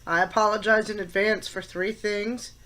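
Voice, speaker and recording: A woman in her fifties with a head cold, stuffed up, giving her opinions in a casual class vlog and stressing words between pauses and fillers. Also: mid-range voice, steady tone, bright, forceful tone, poor audio. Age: 50s. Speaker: college teacher and student.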